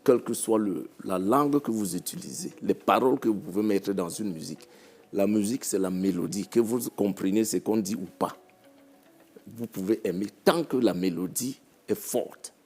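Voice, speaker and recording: A man's voice: fairly low pitch, moderate pace, darkish tone, soft background music. A man from Cameroon, a musician guest on a panel talk show, speaking reflectively and repeating his phrases.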